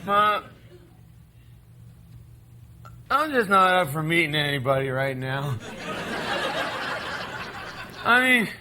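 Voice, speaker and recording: An actor playing a rough, volatile drifter son in a stage play, with a gravelly American voice and a defiant, folksy bluster. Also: male voice, fairly high pitch, big pitch swings, a little slow, crowd noise, noisy background. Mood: evasive.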